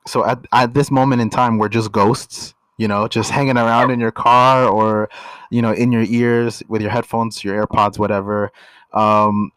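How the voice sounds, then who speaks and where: A young man from Canada co-hosting a casual couple podcast, relaxed and full of filler words. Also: fairly low pitch, moderate pace, smooth, dry recording, poor audio. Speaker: podcast co-host.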